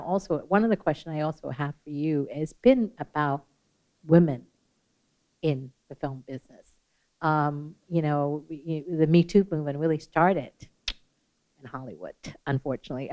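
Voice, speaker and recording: A woman's voice: low voice, a little mellow. A woman who learned English as a second language, moderating a panel with chatty, rambling questions full of fillers. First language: not English. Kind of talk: hosting a panel Q&A. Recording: slightly dull, lo-fi sound.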